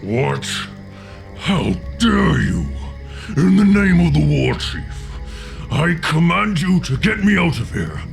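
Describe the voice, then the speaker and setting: Croaking, wounded orc shaman. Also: on the high side, very animated, slow pace, soft background music, full character voice. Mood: outraged. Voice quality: gravelly. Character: indignant, wounded orc shaman, loyal and defiant.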